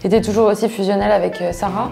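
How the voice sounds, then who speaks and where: A woman's voice: mid-range voice, fairly even intonation, rapid-fire, creaky, mellow, faint music behind. A woman asking casual questions as an interviewer. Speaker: off-screen interviewer.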